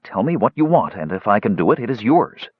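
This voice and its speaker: A man playing an anxious, earnest half-elf adventurer in a fantasy audiobook, speaking in a hurried, eager way. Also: lowish voice, very animated, slightly rough, no room sound, phone-quality sound, voice pushed up.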